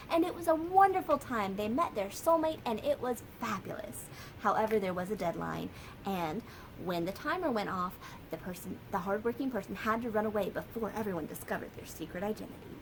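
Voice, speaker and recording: An American woman, a children's librarian, telling a story and sharing books for kids in a lively, sing-song way. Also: medium pitch, slight background noise, poor audio.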